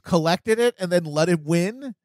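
A man's voice: sits high, moderate pace, darkish tone, no echo. Wry American man, dry close recording. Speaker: podcast co-host. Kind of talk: casual political comedy chat.

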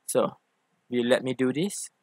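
A man's voice: mid-range voice, moderate pace, darkish tone, dry recording, close to an everyday voice. Man acting a playful, teasing femboy boyfriend, closely recorded. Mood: mock tantrum. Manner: theatrical, teasing, coaxing. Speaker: ASMR roleplay voice actor. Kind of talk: ASMR boyfriend roleplay.

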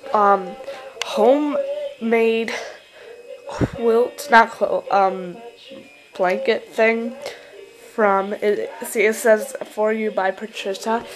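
A woman's voice: mid-range voice, rough recording. A teenage girl with a New York accent, chatting casually in her bedroom at night and filling her talk with fillers while she films on a handheld camera. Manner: chatty, rambling, lots of fillers. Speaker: model horse hobbyist vlogger. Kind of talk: casual show-and-tell vlog.

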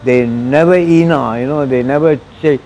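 An older man in his sixties speaks in Malaysian-accented English, earnest and plain, circling back over his words. His voice sits at an ordinary middle pitch and is caught close on a lapel mic. A faint hum of street traffic lingers behind him in the open walkway. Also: moderate pace, dark tone. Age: 60s. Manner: broken English, earnest and repetitive. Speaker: interviewee. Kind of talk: personal testimony. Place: arched outdoor walkway of a mosque.

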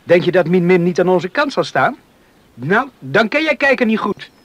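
A passionate young farm lad in a radio play. His voice is high for a man, with an impulsive, intense way of talking. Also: big pitch swings, normal speed, fairly bright tone, no echo, muffled recording, heavily acted. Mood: defiant. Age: young adult. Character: headstrong, passionate young farmer's son in love. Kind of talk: Dutch radio drama acting.

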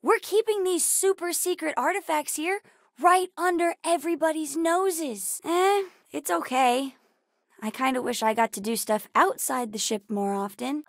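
A woman voicing a bright, bookish, sassy young girl in a video game, earnest, chatty and precocious. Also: high-pitched, no room sound, studio quality. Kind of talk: video game character dialogue.

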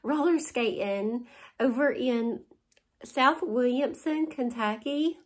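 Woman, 40s, Southern accent, haul vlogger; slightly high voice, unhurried, chatty and delighted, close camera in a living room. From West Virginia. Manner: gushing, chatty, laughs often. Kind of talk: casual show-and-tell haul. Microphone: camera in front at close range.

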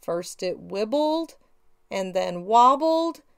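A middle-aged woman hosting children's storytime, animated and sing-song, speaking directly to young listeners. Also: medium pitch, takes it slowly, vocal fry, roomy sound. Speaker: children's read-aloud show host. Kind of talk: children's storytime presenting and picture-book reading.

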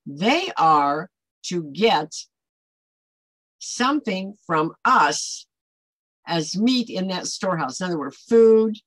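A woman's voice: medium pitch, dry recording, rough recording. An older American woman hosting a Bible study, teaching informally to camera in an emphatic, conversational manner. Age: older, 60s or above. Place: small home office, indoors.

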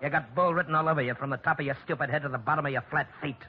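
An actor playing an insolent, menacing gangster with slangy tough talk, in 1940s radio style. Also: male voice, steady tone, rapid-fire, slightly rough. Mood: belligerent. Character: sneering, insolent male gangster in flashy rings. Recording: dull vintage radio recording with rumble.

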